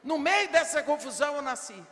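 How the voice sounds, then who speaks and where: A woman's voice: sits high, very animated, fairly quick. Older woman preaching in a reverberant hall. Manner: emphatic, rhetorical questions, bursts of shouting. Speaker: preacher at women's congress. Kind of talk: sermon. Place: large church hall. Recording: long reverb of a big hall.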